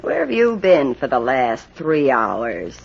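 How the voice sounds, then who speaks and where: Hard, scornful wife on dull old radio. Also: female voice, very deep voice, sing-song, takes it slowly, vocal fry, husky, exaggerated voice. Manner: biting, commanding, sarcastic. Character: hard, scornful, domineering wife running a shady show business. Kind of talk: old-time radio crime drama acting.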